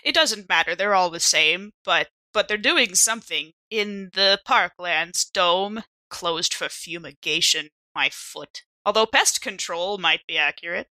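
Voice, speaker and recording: Woman playing an evasive, bright-voiced station snoop. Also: fairly low pitch, unhurried, hardly any pauses, no room sound. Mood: evasive, exasperated. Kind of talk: improvised in-character tabletop roleplay.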